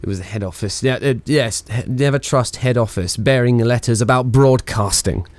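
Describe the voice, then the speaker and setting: A man's voice: medium pitch, moderate pace, dry recording, lightly acted. A man acting a witty, sardonic, reluctant 1920s wireless engineer in a radio play, with a rambling, flippant delivery. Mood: flippant, teasing. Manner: wry, rambling, exasperated, theatrically flippant.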